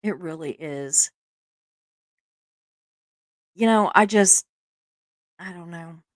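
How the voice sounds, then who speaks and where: A woman's voice: fairly low pitch, slow pace, dry recording. A middle-aged woman with a Southern US accent, hosting a live stream in casual, self-deprecating chat, laughing often and using many fillers.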